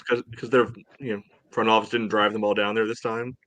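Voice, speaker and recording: A man co-hosting a sports fan podcast in casual chat, with a dry, teasing manner and halting storytelling. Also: fairly low pitch, flat delivery, fairly bright tone. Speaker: podcast co-host.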